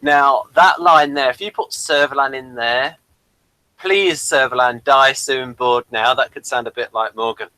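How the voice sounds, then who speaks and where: Male co-host, crisp bright tone, medium pitch, informal review conversation. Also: measured pace, no room sound, rough recording. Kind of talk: casual conversational review chat.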